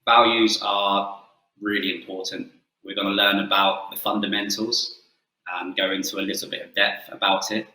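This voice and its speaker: A man's voice: lowish voice, flat delivery, normal speed, sharp tone, echoey room, poor audio. A middle-aged man with an English accent, a football coach giving an earnest presentation with frequent fillers. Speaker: football coach and guest speaker. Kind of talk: presentation talk.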